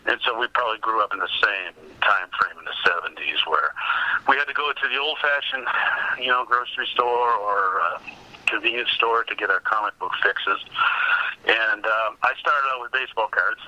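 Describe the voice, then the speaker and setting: Sharp-voiced man on a dull phone line. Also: on the low side, moderate pace. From Massachusetts, United States. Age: 50s. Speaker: podcast guest. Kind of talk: casual reminiscing. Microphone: phone call.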